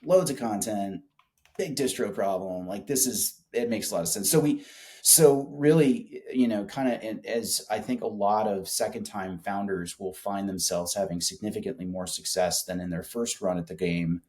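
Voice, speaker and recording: A man's voice: lowish voice, moderate pace, darkish tone. A man being interviewed as a startup founder, chatting casually with colloquial, salty, emphatic storytelling. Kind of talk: casual business interview chat.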